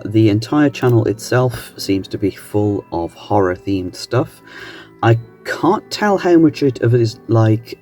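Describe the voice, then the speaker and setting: A man hosting a reaction channel, chatting casually in a halting, musing way. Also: fairly low pitch, dark tone. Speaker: host of a reaction video channel. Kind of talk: casual chat reacting to analog horror videos.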